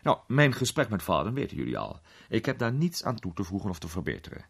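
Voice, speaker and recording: A man playing an urbane gentleman detective who recounts his case with measured, suspenseful pauses in a radio play. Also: lowish voice, sing-song, vocal fry, breathy edge, heavily acted. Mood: composed, confiding. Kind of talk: dramatised storytelling in a radio play.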